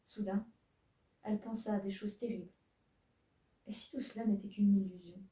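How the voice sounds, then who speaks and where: A woman's voice: mid-range voice, steady tone, moderate pace, dark tone. A woman reading her own old fanfiction aloud, stumbling over her text and making self-mocking asides, recorded in a roomy room from a distance. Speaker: fanfiction reader.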